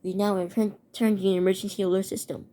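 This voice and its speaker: A woman reading a scripted emergency broadcast announcement in a halting way, repeating and stumbling over words. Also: mid-range voice, flat delivery, mellow.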